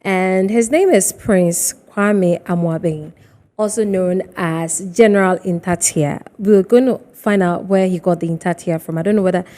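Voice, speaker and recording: A woman's voice: lowish voice, normal speed, warm tone. A woman with a Ghanaian accent hosting an entertainment interview in a conversational way, often murmuring agreement. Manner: conversational, with frequent affirming murmurs. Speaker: TV show host. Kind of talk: entertainment talk show interview. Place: TV studio.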